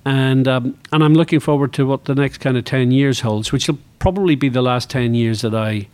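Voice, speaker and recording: A man's voice: mid-range voice, brisk, darkish tone, no echo. Northern Irish man of sixty, reflective. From Northern Ireland. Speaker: interview guest, motor racing executive. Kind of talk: reflective conversational interview.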